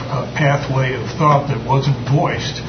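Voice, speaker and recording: A man taking part in a Bible study group, offering a measured, thoughtful comment. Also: mid-range voice, fairly even intonation. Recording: muffled, roomy, noisy distant mic.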